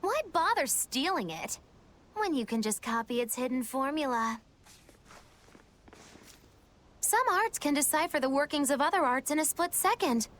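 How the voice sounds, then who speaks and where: High, lively, sly know-it-all. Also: female voice, moderate pace. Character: sly, clever, teasing female know-it-all. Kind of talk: English video game dub acting. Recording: music under the voice.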